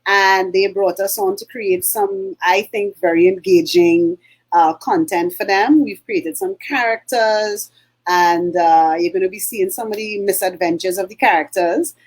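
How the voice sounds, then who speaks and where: A middle-aged Trinidadian woman co-hosting a relaxed talk show. She rambles warmly through her points with frequent ums and uhs, at an ordinary middle pitch. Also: measured pace. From Trinidad and Tobago. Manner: chatty, many fillers and throat clearing. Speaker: co-host. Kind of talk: casual chat.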